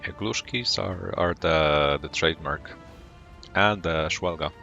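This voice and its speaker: Low gravelly Polish-accented man streaming. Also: highs cut off, faint music behind. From Poland. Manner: calm, unhurried, greets chat viewers between plays. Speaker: game streamer. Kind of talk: casual live-stream gameplay commentary.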